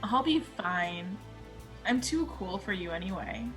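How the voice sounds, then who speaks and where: A woman's voice: medium pitch, expressive, measured pace, a bit bright, soft background music, low-quality recording. A woman playing a spunky, loyal young woman in a film table read, with a supportive manner. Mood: encouraging.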